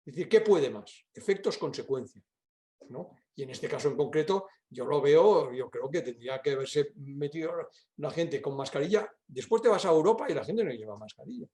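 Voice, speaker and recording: A man's voice: a little high, animated, normal speed, mellow. An older man from Catalonia with a Spain Spanish accent, a professor answering questions informally in a discursive, emphatic way, full of little question tags. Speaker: university professor and guest speaker.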